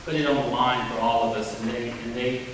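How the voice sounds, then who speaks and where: A man speaking as a candidate at a forum, halting and informal, often correcting himself. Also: mid-range voice, steady tone, a bit bright. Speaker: candidate for governor. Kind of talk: campaign forum answer. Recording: echoey hall from far off, hiss.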